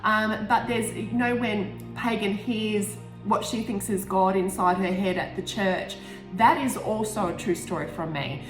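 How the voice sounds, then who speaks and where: A woman's voice: mid-range voice, a little slow. A woman in her forties with an Australian accent, chatting casually and candidly as an author reflecting on her own writing, often rambling and interrupting herself. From Tasmania, Australia. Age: 40s. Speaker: author reading and discussing own book. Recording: roomy echo, music behind. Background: music.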